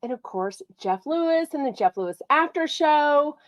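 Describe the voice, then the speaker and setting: A woman from Texas, hosting a casual gossip livestream. She has a slight cold that makes her sound nasal, and she talks in a bubbly, excitable way. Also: sits high, normal speed, dry recording. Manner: bubbly and excitable, lots of "like" and "oh my gosh". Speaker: podcast host.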